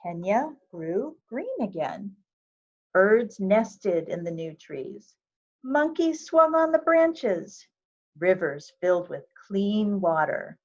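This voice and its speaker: A woman reading a picture book aloud for children, with measured, expressive storytelling phrasing. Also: mid-range voice, dull audio. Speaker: volunteer reader of a children's book.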